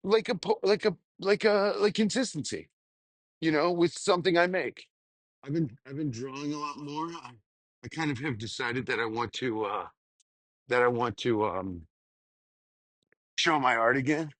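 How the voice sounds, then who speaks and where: A man talking casually to his audience in a rambling, self-deprecating spoken journal, halting and crudely sweary. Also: on the high side, a bit bright, no echo. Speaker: vlogger.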